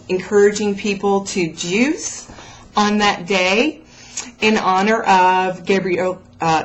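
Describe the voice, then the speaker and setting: A woman's voice: fairly low pitch, low-quality recording. A woman talking casually and motivationally to her followers as a vlog host, addressing the listener directly with encouraging emphasis. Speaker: host of a raw food vlog.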